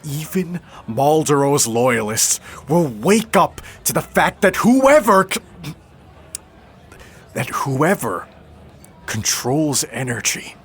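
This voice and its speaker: An actor playing an insistent, calculating revolutionary leader in an audio drama, deliberate and pressuring. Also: male voice, on the high side, very animated, fairly bright tone, husky, exaggerated voice. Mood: grave, intense.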